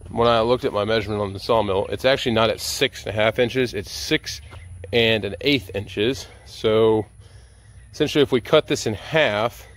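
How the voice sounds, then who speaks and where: A man's voice: on the low side, normal speed, a bit bright. A middle-aged man chatting casually and informally, thinking aloud as he narrates hands-on work at an open-air sawmill. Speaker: sawmill operator and vlogger. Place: outdoors at an open-sided sawmill shed and yard. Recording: noisy outdoor ambience, vehicle sounds.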